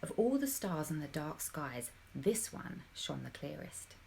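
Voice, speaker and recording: A woman with a slightly low voice reads a storybook to children. She tells it expressively and with drama, addressing her young listeners directly. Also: big pitch swings, a little slow, gravelly, warm tone, a little hiss or hum. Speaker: storybook reader.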